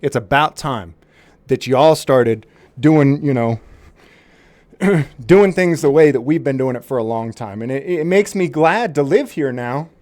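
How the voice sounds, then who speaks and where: A man speaks with a straight-faced, faux-earnest delivery, stumbling over restarts as he makes his case. His voice sits at an ordinary middle pitch. The sound is close and dry, with no room around it. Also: measured pace, a little mellow, no echo. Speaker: prank caller to a police department. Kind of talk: mock-serious phone prank.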